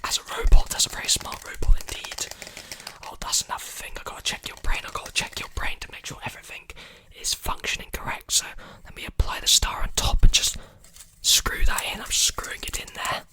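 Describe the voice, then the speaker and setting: A young man whispering breathily and soothingly into a close mic, repeating phrases in an ASMR roleplay as a repair technician. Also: a little fast. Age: young adult. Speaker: ASMR performer playing a robot repair technician. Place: small indoor room with clothes hanging behind. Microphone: large desktop condenser mic, very close.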